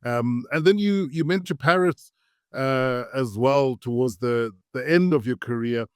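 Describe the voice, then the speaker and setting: A man hosting a podcast, chatting in a relaxed way and laughing easily. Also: medium pitch, a little slow, smooth, dry recording, studio quality. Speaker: podcast host. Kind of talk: casual podcast chat.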